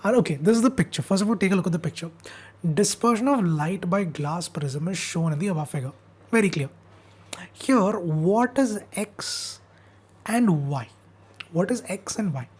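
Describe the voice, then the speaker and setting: Husky-voiced woman tutor, losing her voice. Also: on the low side, lively intonation, moderate pace, darkish tone. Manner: chatty, coaxing viewers to answer in chat. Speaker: online tutor. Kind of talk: upbeat exam revision class.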